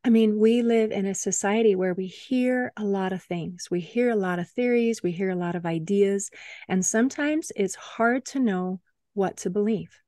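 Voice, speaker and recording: A woman's voice: medium pitch, normal speed, mellow, dry recording, clean studio sound. A woman hosting a podcast who responds to her guest with enthusiastic, affirming remarks. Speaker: podcast host. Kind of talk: casual interview talk.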